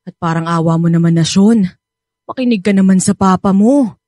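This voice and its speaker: A woman with a Filipino accent acting a caring, gently scolding nanny in a radio drama, motherly and pleading. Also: medium pitch, moderate pace, no room sound, studio quality, lightly acted. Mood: soothing, earnest. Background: background music.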